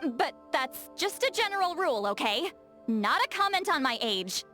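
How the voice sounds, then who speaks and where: A woman voice acting a touchy, lazy, bookish young-seeming shaman in a video game, expressive and defensive, with hesitant asides and self-corrections. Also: sits high, normal speed, faint music behind, exaggerated voice. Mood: defensive, flustered. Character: touchy, lazy, bookish female shaman, youthful but secretly very old.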